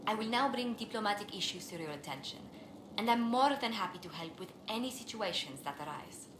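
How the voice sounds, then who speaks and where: An actress playing a refined, earnest court diplomat in a video game, with courteous and formal speech. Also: female voice, mid-range voice, lively intonation, fairly quick, roomy sound, background noise, natural voice. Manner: courteous, formal, elegant phrasing. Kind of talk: scripted video game dialogue.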